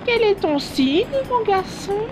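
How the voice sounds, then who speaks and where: A woman's voice: very high voice, lively intonation, full character voice, poor audio. Swooping, theatrical cartoon villainess acted by a woman. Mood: smug. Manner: taunting, drawn-out, swooping. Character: theatrical mocking villainess, fortune-telling astrologer. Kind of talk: cartoon comic fan dub. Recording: music behind the voice.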